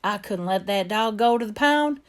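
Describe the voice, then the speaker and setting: Lady doing a folksy southern little girl narrating her storybook tale, earnest and plain, medium pitch. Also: animated, moderate pace, lightly acted. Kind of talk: first-person storybook narration.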